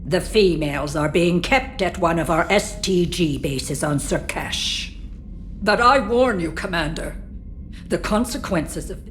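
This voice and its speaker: A woman's voice: lowish voice, animated, measured pace. A woman playing a haughty, bitter alien political leader with an imperious, disdainful manner in a video game. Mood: bitter, threatening. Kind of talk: cinematic video game acting. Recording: dramatic game music behind.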